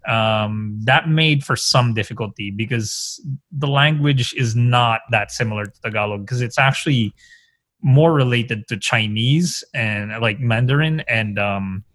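A man's voice: mid-range voice, measured pace, a bit bright, no echo. A man from the Philippines, a guest in a casual conversation, rambling and filling his talk with "like" and "um". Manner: rambling, heavy use of "like" and "um".

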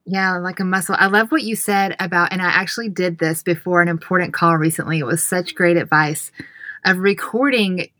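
A woman's voice: lowish voice, brisk, pushed and bright, no room sound. A woman hosting an interview, enthusiastic and affirming as she responds to her guest. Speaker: interviewer host. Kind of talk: conversational interview.

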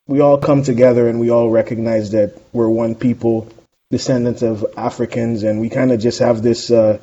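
Mellow-voiced man, thoughtful and reflective. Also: mid-range voice, lo-fi sound. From West Indies. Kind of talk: casual podcast chat.

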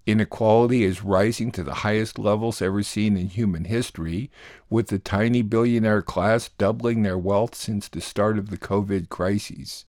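A man's voice: on the low side, a little mellow, no echo, clean studio sound. A man reading scripted introductions as host of a radio news program.

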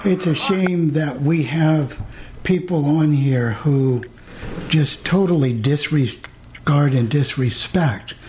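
A man co-hosting a radio talk show over a video call, halting and stern. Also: on the high side, a little mellow. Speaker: co-host. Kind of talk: casual panel chat.

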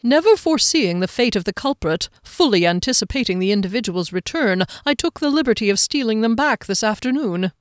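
A smug halfling thief from a fantasy storybook, acted out by a woman narrator. He speaks in pompous, dignified, wordy phrases, lifted in pitch and recorded close and dry. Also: expressive, a little fast, few pauses, dry recording, lightly acted. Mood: smug, dramatic. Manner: pompous, verbose, formal diction.

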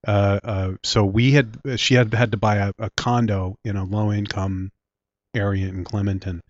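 A man in his late forties with a Philadelphia accent tells long, facetious stories in a lowish voice. He sounds congested from a sinus infection. The recording is dry and dull, with a lo-fi edge. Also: level tone, normal speed, no echo. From Philadelphia area, US. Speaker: guest, director and writer. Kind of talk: casual interview chat.

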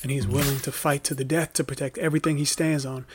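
A male actor playing a wrongly imprisoned young man telling his story in a halting, reflective monologue for a documentary audio drama. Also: medium pitch, little pitch movement, music in the background, lightly acted. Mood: solemn, earnest.

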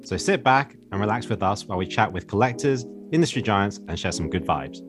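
A man's voice: lowish voice, expressive, a little fast, music in the background. A man co-hosting a podcast, interviewing a guest. He asks questions in a friendly way, often adding "like" and "right?". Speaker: podcast co-host. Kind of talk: hosted interview.

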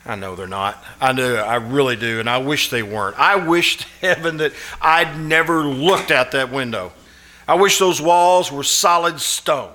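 A man playing an anxious, haunted fellow in live old-time radio suspense style, halting, pleading and earnest. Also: mid-range voice, pushed and bright, close to an everyday voice. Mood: agitated, sleepless. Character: anxious, haunted man obsessed with a shadowy vision.

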